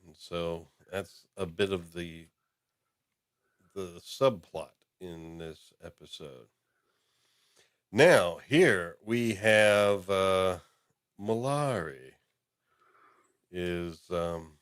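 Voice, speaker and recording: A deep-voiced male podcast host talks through an episode recap in a loose, unhurried ramble. He pads his sentences with ums and uhs and circles back on his points. The sound is dry and close, with no room echo.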